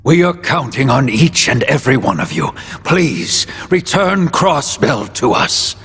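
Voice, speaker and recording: An actor playing a solemn male leader with a very husky voice in a video game. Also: medium pitch, very animated, unhurried, fairly bright tone, faint music behind, heavily acted. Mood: solemn, pleading. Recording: long echoing hall reverb.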